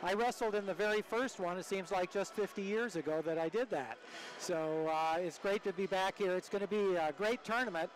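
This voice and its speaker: An older American man with a notably high voice serves as co-commentator. He talks conversationally in a live sports broadcast from an arena. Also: fairly quick, few pauses, poor audio.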